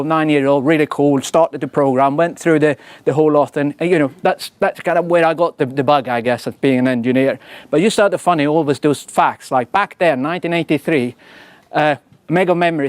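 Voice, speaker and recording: A man's voice: fairly high pitch, dry recording. Chatty Swedish-accented man giving a talk. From Sweden. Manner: chatty, self-deprecating, many fillers and tag questions. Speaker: engineering leader giving a talk. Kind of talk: casual conference talk.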